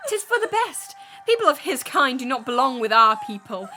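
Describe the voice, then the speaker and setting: A woman's voice: sits high, sing-song, moderate pace, pushed and bright, soft background music, exaggerated voice. A woman playing a prim, dutiful young Victorian sister in a period radio drama, with an admonishing manner. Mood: chiding.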